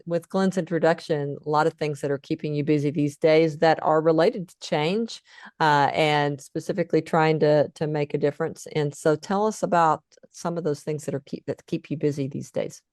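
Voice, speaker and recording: A woman's voice: sits low, a little mellow, no room sound, clean studio sound. A woman co-hosting a casual interview, talking in a tentative, slightly rambling conversational way. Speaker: interviewer, co-host.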